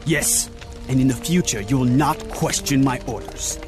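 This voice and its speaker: Game role: young samurai general male actor. Loyal, proud, solemn, medium pitch, commanding formal delivery over a clear musical score. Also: normal speed, music in the background, close to an everyday voice. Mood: stern. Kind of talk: dramatic game cutscene acting.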